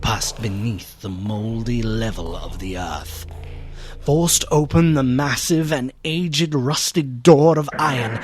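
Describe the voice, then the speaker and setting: An actor playing a grave, horrified gentleman in a gothic radio play, with a solemn, ominous, deliberate delivery. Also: mid-range voice, close to an everyday voice. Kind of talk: melodramatic radio drama narration and acting.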